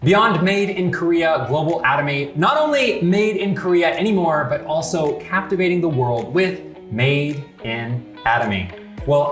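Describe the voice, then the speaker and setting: Female host, low register with lively swings, roomy sound, faint music behind. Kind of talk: talk show hosting.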